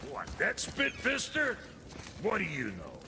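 Casting: cantankerous game blacksmith, crude and grumbling, swinging wildly in pitch, gravelly edge. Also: male voice, huge pitch swings, unhurried, sharp tone, exaggerated voice. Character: gruff, foul-mouthed, irritable male blacksmith. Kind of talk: video game voice acting. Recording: reverberant, some background noise.

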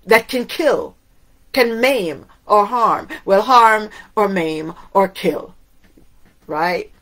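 A woman's voice: mid-range voice, a bit bright. A middle-aged woman from Cuba whose first language is Spanish, preaching a sermon to camera in an emphatic way, asking many rhetorical questions. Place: indoors in front of a waterfall backdrop.

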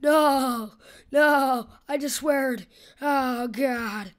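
A woman's voice: sits high, very slow. A young woman with a scratchy voice giving casual, rambling, slangy gameplay commentary for a video. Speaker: gaming video host.

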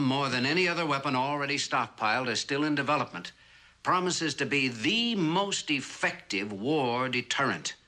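An actor playing an earnest, stubborn male scientist in a mid-century television drama, speaking in a formal, measured, persuasive way. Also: lowish voice, bright, forceful tone, slightly rough, exaggerated voice. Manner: formal, measured, deliberate speechmaking delivery.

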